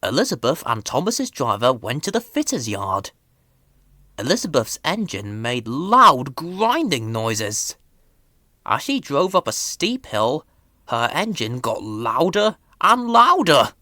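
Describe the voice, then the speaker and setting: A woman's voice: very deep voice, big pitch swings, takes it slowly, pushed and bright, dry recording, studio quality. A woman reading a children's story as a scripted narration. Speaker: storybook reader.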